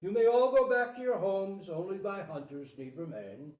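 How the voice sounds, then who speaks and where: A man's voice: sits high, expressive, normal speed, dull audio, pitched above the performer's own voice. A man playing a lordly king who loves the hunt, declaiming regally in a storybook reading. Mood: wistful, commanding. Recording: roomy, distant microphone.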